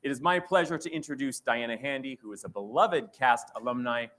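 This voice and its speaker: Man, mid pitch, co-host, formal welcome, gracious and grateful. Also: brisk, a bit bright.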